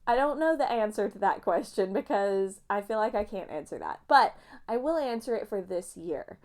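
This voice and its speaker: A young woman rambles through a book vlog in a loose, self-mocking way and keeps wandering off topic. Her voice is smooth and clean, with a slight nasal, sniffly edge from allergies. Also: medium pitch, expressive, normal speed, darkish tone. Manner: chatty, self-mocking, goes off on tangents. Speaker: booktuber.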